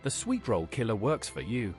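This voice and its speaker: A man's voice: fairly low pitch, wildly swooping pitch, normal speed, darkish tone, soft background music. A man voicing a plain, direct male game hero who speaks in short questions and statements, as AI-voiced game dialogue.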